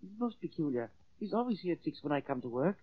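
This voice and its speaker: An actor playing a polite young restaurant host in an old radio drama, with formal, stilted, courteous phrasing. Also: male voice, a little high, very animated, fast talker, breathy edge. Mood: puzzled, polite. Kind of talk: 1940s radio drama acting. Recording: muffled old radio recording.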